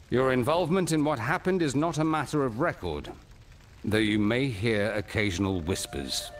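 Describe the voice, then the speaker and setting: An actor playing a grave, weary male mentor in a video game, speaking in a solemn, measured, formal way over music. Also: medium pitch, lightly acted. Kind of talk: dramatic video game dialogue. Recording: music behind the voice.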